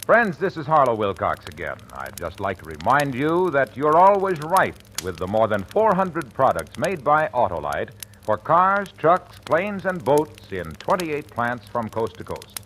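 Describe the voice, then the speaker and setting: A man's voice: mid-range voice, very animated, measured pace, hardly any pauses, fairly bright tone, slight background noise. An American man reading scripted mid-century radio ads and credits with emphatic, polished salesman delivery. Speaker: commercial announcer.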